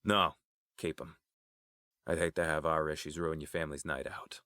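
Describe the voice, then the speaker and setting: An actor playing a bitter, coldly menacing crime boss in an audio drama, with a sardonic manner. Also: male voice, very deep voice, little pitch movement, normal speed, pushed and bright, no echo, studio quality.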